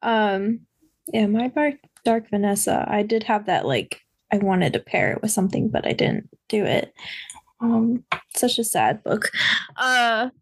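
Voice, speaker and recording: A hoarse woman co-hosting a podcast, rambling in a casual chat with lots of fillers. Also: medium pitch, animated, measured pace, dry recording. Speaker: podcast co-host.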